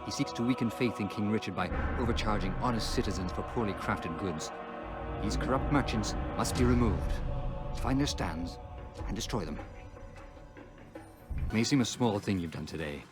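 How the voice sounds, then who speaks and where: A man's voice: low-pitched, moderate pace, loud music behind the voice, lightly acted. A man voicing an earnest, grave informant in a historical video game, formal and instructive. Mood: earnest, instructing.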